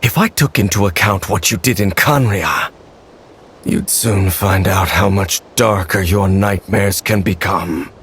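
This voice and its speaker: An actor playing a stern, bitter immortal knight in a video game, speaking in a cold, measured, formal way. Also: male voice, sits low, a bit bright, slightly rough, full character voice. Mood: cold, menacing. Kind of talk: dramatic video game voice acting.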